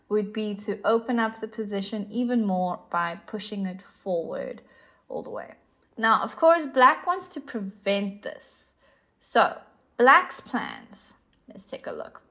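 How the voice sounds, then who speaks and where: A woman's voice: mid-range voice, fairly bright tone. A young woman with a South African accent, patiently explaining chess positions as an instructor. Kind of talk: explaining chess moves on a board.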